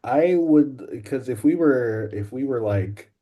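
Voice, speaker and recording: A man's voice: mid-range voice, expressive, takes it slowly, phone-quality sound. A man talking casually on a podcast panel as a voice actor. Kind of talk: casual chat. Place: library, on a remote call.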